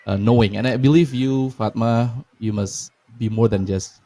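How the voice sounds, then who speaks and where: Mellow indonesian guy cohosting a chill english podcast, indonesian first language. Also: mid-range voice, steady tone, moderate pace. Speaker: podcast co-host. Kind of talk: casual chat.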